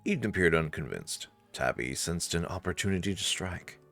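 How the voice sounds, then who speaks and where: A man's voice: low voice, animated, moderate pace, vocal fry, a bit bright, faint music behind. A man narrating a visual novel playthrough expressively, with casual asides.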